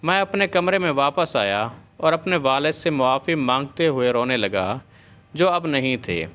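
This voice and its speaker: A man's voice: medium pitch, lively intonation, fairly bright tone. A man reading a scripted moral story as a storyteller.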